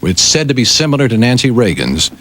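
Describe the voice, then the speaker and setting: A man's voice: mid-range voice, sing-song, quick pace, a bit bright. An American man reading news on the radio as a co-host, slipping into casual banter.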